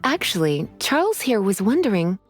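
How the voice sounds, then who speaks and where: A mature, teasing woman in a video game. She is languid and genteel, with a husky tone and lively, coy swoops in pitch. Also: normal speed, faint music behind.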